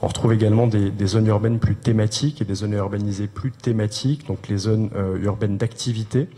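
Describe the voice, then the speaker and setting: A man with a fairly low, mellow voice gives a technical presentation as an urban planner. He explains step by step in short, hesitant bursts. His voice carries a long reverb from a large hall. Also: fairly even intonation, brisk.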